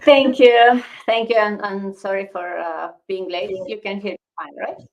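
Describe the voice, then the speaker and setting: A middle-aged woman from Romania, a guest on an online call, introducing herself politely with many pauses and fillers. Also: mid-range voice, fairly even intonation. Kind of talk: casual self-introduction.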